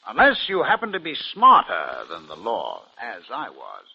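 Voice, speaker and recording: Boastful schemer, swooping husky voice. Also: male voice, medium pitch, wildly swooping pitch, normal speed, pushed and bright, exaggerated voice. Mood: boastful, cold. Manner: boastful, self-satisfied, theatrical. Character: boastful, scheming male businessman, smug killer. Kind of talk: 1950s radio drama acting. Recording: muffled vintage radio broadcast.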